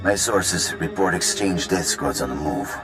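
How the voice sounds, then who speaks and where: An actor playing a grave, courteous slaver boss in a video game, with a gravelly, measured, formal delivery. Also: male voice, deep voice, over music. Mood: grave, foreboding.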